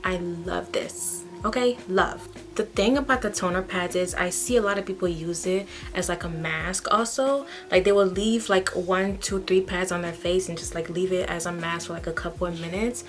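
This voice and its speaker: A woman's voice: lowish voice, fairly even intonation, moderate pace, few pauses, soft background music. Chatty young woman from Haiti. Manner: chatty, frank, says "okay?" often. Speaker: beauty vlogger. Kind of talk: casual get-ready-with-me chat.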